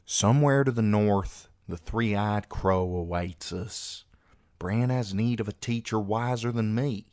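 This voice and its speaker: Solemn boy beyond his years, read by a man, cautious, measured and slow, for a storybook. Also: lowish voice, darkish tone, no echo, studio quality, voice pushed up, lightly acted. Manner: grave, measured, foreboding.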